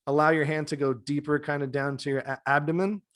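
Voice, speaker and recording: A man in his thirties, a yoga teacher, jokes in a relaxed way on a podcast video call. His voice is soothing and slightly higher than average. It comes through a close desk mic in a dim home room, so it sounds dry. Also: fast, no echo. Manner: joking, laid-back, soothing. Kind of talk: casual podcast chat.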